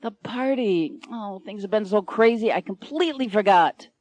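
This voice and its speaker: A woman's voice: medium pitch, a little mellow, no room sound, lightly acted. A woman reads as a frazzled young newsroom writer, a man who talks in a flustered rush. The sound is close and dry. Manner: flustered, hurried.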